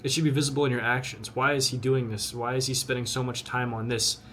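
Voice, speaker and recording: A young man of twenty talks to the camera with blunt, earnest conviction, often repeating words as he pushes his point. His voice sits at an ordinary middle pitch. A faint hum of ambience runs behind him. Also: little pitch movement, quick pace, sharp tone. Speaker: vlogger. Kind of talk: motivational talk to camera.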